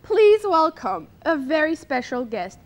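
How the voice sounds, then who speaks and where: A woman's voice: high voice, sing-song, a little slow. A woman playing a bubbly gushing TV host. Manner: bubbly, showy announcer delivery. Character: perky gushing female TV talk show host. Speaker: mock talk show host. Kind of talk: parody talk show interview.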